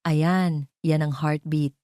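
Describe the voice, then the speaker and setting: Woman acting as a dark-voiced, attentive female doctor. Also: low-pitched, fairly even intonation, takes it slowly, no echo, studio quality. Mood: gentle, attentive. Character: female clinic doctor, professional, quietly amazed. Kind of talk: audio drama character dialogue.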